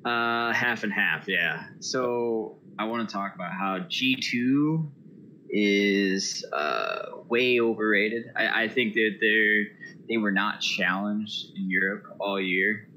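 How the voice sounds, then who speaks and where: American guy calling into a show, slow and kinda low, sharp bright voice, noisy line. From Iowa, United States. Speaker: caller to an esports show. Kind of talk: casual opinion talk. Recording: noisy voice-chat call.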